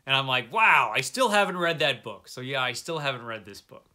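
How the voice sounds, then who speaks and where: A man's voice: mid-range voice, sing-song, brisk, pushed and bright. A middle-aged man talking casually to viewers as a book vlogger, rambling along with wry, self-deprecating asides. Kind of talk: casual chat to camera, book recommendations.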